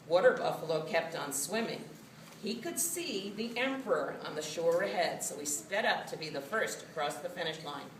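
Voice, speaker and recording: A woman reading a children's story as scripted narration, with an expressive storytelling delivery. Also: fairly low pitch. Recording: roomy, distant mic, faint noise.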